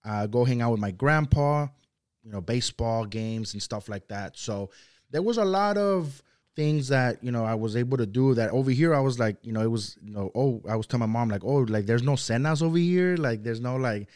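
A man's voice: medium pitch, lively intonation, a little fast, a little mellow. A young man from Mexico whose first language is Spanish, a guest talking casually on a podcast with lots of "you know" and "like". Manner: loose, rambling, full of "you know" and "like". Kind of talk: casual conversational interview.

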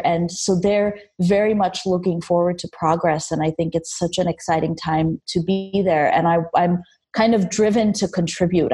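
Young woman designer, interview guest; reflective and eager, lots of ums, husky low-ish tone. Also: mellow. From Armenia, raised in Los Angeles. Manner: reflective, enthusiastic, many fillers and restarts. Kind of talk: casual interview.

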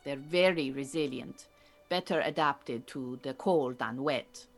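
An actress playing an earnest, proud Iranian refugee woman who mentors beekeepers in an audio drama, speaking in a measured, formal, precise way. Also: very deep voice, vocal fry, faint music behind, full character voice. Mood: calm, cautioning. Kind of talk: naturalistic audio drama acting.

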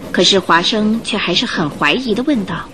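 Female radio-drama narrator, mid-pitched and very animated, measured storytelling with music underneath. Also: slight background noise, rough recording.

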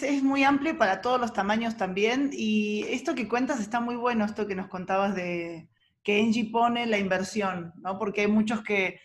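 A woman with an Argentine accent hosting an interview in a chatty, friendly way. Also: medium pitch. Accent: Argentine Spanish. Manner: friendly, chatty, quick asides. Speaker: host. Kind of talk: casual interview chat.

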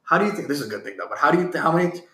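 A male interviewer with a bright, forward voice, winding through long, loosely built questions in a relaxed chat. His voice bounces around an echoey room. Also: on the high side, racing. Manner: rambling, loosely worded questions. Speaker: interviewer, host. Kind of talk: casual interview conversation.

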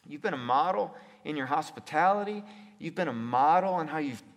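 A man's voice: a little high, animated, brisk, highs cut off. A man preaching a sermon in an emphatic way, repeating phrases and putting rhetorical questions to his listeners. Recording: echoey hall with long reverb.

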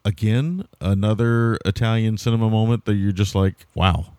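A man's voice: on the low side, normal speed, warm tone, dry recording. A man co-hosting a podcast, reviewing a film in casual, rambling, amused talk. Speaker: podcast co-host. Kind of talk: casual movie review chat.

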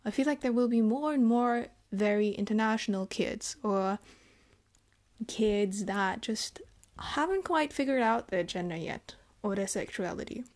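Young woman vlogger from Germany, unhurried and reflective, pausing to find her words. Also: medium pitch. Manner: thoughtful, hesitant, halting phrasing. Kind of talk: reflective personal monologue.

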